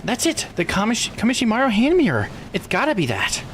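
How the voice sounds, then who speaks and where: A man voicing an excitable, earnest young character from a mystery visual novel in an exclamatory way. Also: high-pitched, a little fast, a little hiss or hum, pitched above the performer's own voice, exaggerated voice. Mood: excited realization. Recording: game music behind voice.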